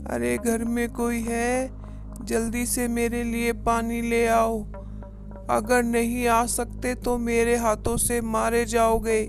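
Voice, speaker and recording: A woman's voice: on the high side, monotone. A woman voicing a menacing, gloating witch in a horror cartoon, with a threatening manner. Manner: slow, threatening, cackling. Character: menacing female witch demanding water, gloating. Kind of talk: Hindi horror cartoon voice acting. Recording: loud music under the voice.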